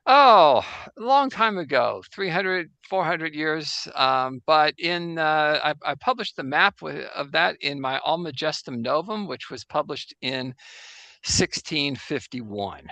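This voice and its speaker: A man playing a 17th-century Jesuit astronomer priest in a casual improvised interview over a video call, halting and full of restarts. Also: a little high, animated, pushed and bright, no echo, exaggerated voice. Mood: wry. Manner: halting, full of restarts and fillers, joking anachronisms. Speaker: guest in role-play.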